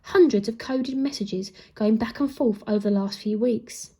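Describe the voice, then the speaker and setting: A woman's voice: on the high side, moderate pace, mellow, husky. A woman reading as a scheming Lord Protector. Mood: smooth.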